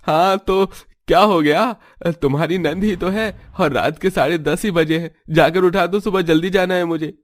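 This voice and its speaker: Man voicing an exasperated high-pitched young cartoon husband. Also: moderate pace, few pauses, lightly acted. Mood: impatient, demanding. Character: Ravi, irritated young husband wanting his sleep. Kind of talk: Hindi family cartoon dialogue.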